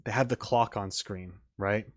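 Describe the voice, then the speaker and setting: A man's voice: lowish voice, unhurried. A man giving casual, off-the-cuff commentary as a reaction streamer, rambling through his thoughts with many asides. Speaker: reaction video host and streamer.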